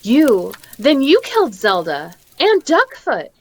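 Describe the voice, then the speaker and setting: Heroine of a 1940s radio serial played by a woman: high, animated, plucky, melodramatic. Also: slow pace, heavily acted. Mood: indignant, frightened. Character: plucky heroine sweetheart Miss Trueheart, indignant, frightened.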